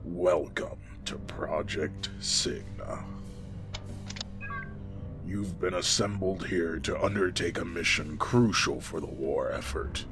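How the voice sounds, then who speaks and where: Military general, very low pitch, solemn, measured, commanding, audio drama, clear music underneath. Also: male voice. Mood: grave, commanding. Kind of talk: sci-fi audio drama acting, formal briefing.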